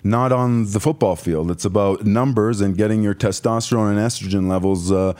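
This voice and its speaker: A man's voice: lowish voice, brisk, darkish tone. A man on a sports talk panel arguing casually in a blunt, colloquial, opinionated way.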